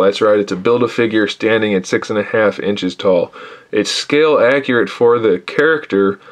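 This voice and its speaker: Guy doing a toy review, lowish voice, tired and kinda hoarse, griping a lot. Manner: critical, tired, complaining. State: losing his voice. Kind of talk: casual product review, off-camera voice.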